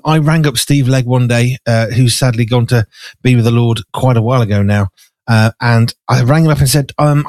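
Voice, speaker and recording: A man with a raspy, gravelly, hoarse voice, an animated radio host chatting effusively with many restarts. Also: medium pitch, no echo. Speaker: radio host and magazine editor. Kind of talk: casual conversation. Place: farmhouse.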